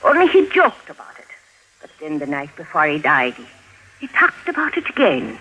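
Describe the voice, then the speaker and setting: An actress playing a feisty, devoted older housekeeper, folksy and exclamatory, in a 1950s radio drama. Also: female voice, low voice, huge pitch swings, moderate pace, sharp tone, slightly rough, full character voice, rough recording. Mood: anguished. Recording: vintage 1950s radio recording.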